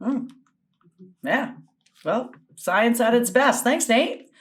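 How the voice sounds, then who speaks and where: Playful woman with a higher-pitched voice. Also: takes it slowly. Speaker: panelist leading a word quiz. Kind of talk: casual comedic game chat. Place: room at home, video call.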